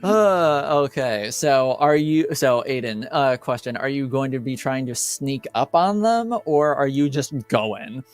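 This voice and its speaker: A man runs a tabletop roleplaying game as game master. He speaks slowly and conversationally in a smooth voice, feeling his way through the narration. Faint music plays underneath. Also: mid-range voice, lively intonation.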